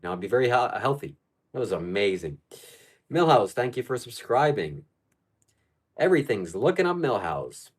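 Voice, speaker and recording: A man's voice: medium pitch, sing-song, moderate pace, pauses often, breathy edge. A man from Ukraine hosting a live stream, answering viewer questions in casual, blunt and opinionated chat.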